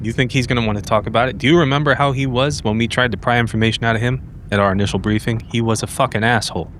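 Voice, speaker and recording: A man's voice: mid-range voice, fairly even intonation, very fast, a bit bright, a little hiss or hum. A man improvising in character as a tabletop game player, with a blunt, hard-boiled way of talking.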